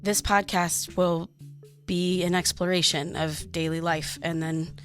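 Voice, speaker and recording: A woman's voice: on the low side, level tone, a little slow, soft background music, natural voice. A woman playing an exhausted, uneasy woman in her thirties narrating a confessional fiction podcast. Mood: pensive. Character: exhausted, uneasy young woman recording her dream diary.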